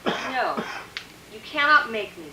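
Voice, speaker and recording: Principled female scientist played by a woman, radio drama. Emotional, defiant, widely swinging pitch, echoey stage sound. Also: sing-song, slow pace, noisy background. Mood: anguished, defiant. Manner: earnest, emotional pleading. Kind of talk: old-time radio drama acting.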